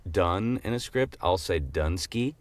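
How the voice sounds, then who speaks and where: A man's voice: low-pitched, expressive, a little slow, no room sound. A man chatting casually as a podcast co-host, in an offhand conversational style. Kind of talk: casual chat.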